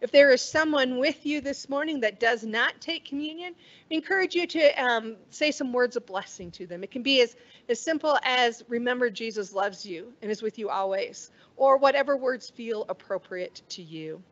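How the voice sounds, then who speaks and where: A middle-aged woman pastor leads communion from a lectern mic in a church sanctuary, her voice sitting a little high. She speaks in slow, measured, solemn phrases. The livestream sound is dull and lo-fi. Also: animated. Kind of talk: leading communion liturgy. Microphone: stand mic at a lectern, far camera.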